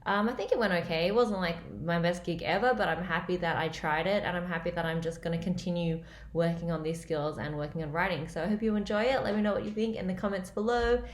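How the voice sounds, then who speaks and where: A woman's voice: fairly low pitch, fast. A young woman with an Australian accent, a comedian chatting casually to camera from a small room, loose and full of fillers. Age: young adult. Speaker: comedian vlogger. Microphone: laptop webcam at close range. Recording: roomy, echoey home recording.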